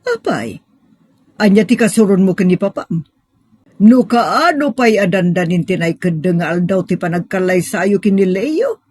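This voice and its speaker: A woman's voice: medium pitch, animated, unhurried, darkish tone, husky, dry recording. A woman playing a middle-aged mother who questions and reassures her daughter in an audio drama. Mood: questioning, defensive. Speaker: drama actor. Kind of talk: Ilocano audio drama acting.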